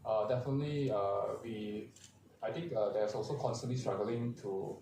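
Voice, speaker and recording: A man, a vtuber agency manager on a convention panel, speaking haltingly with many fillers. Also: lowish voice, dark tone. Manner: halting, many "uh" fillers, non-native grammar. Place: large convention hall. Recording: echoey hall, distant mic, faint crowd noise.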